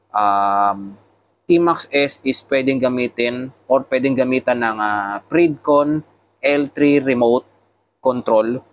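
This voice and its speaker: A man's voice: mid-range voice, a little hiss or hum. A man with a Filipino accent giving a casual product tutorial, explaining things step by step as a motovlogger. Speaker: motovlogger reviewing motorcycle intercoms.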